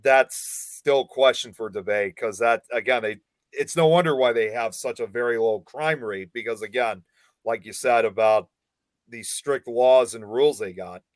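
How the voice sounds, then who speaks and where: A man's voice: medium pitch, normal speed, no echo. Hesitant man, dry close sound. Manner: hesitant, full of fillers. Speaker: panel co-host. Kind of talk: casual panel chat.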